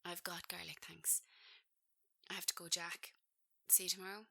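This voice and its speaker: Woman acting a quiet, concerned sister, very crisp edge. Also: on the low side, little pitch movement, moderate pace, exaggerated voice. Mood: subdued, concerned. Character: concerned, subdued sister checking in by call. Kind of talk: script reading dialogue.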